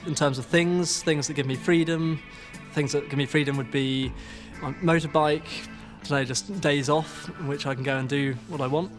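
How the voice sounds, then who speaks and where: A young man muses casually about what matters to him, pausing to search for words. His mid-pitched voice carries a long reverb, with faint music underneath. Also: fairly even intonation. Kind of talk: casual vox pop.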